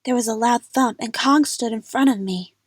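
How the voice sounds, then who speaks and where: A woman's voice: fairly high pitch, normal speed, husky, no room sound, studio quality. A woman narrating a first-person story dramatically for an amateur audio drama.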